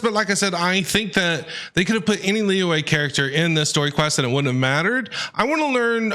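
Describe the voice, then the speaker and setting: Male streamer, fairly high voice, very expressive intonation, reactive casual playthrough commentary. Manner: reactive, opinionated, repeats himself. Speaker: game streamer. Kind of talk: casual playthrough commentary, reading game text aloud. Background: faint game music.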